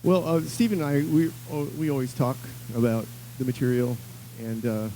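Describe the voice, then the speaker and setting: A man from upstate New York talks about producing records in a slow, thoughtful way, full of small hesitations. His voice is dark and mellow. A faint hum sits behind it. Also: mid-range voice, level tone, rough recording. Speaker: producer and musician. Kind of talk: casual interview.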